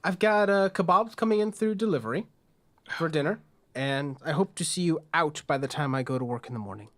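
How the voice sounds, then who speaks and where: A man's voice: a little high, a little fast, lightly acted. A man playing a blunt, matter-of-fact apartment owner wary of uninvited guests in a tabletop game. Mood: firm.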